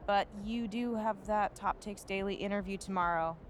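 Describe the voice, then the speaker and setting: A calm publicist playing down a scandal and planning the next interview, voiced by a woman in a game cutscene. Her voice sits at a middle pitch. Faint ambient noise hums behind her. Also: lightly acted. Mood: reassuring. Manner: reassuring, measured. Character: calm female publicist handling the press.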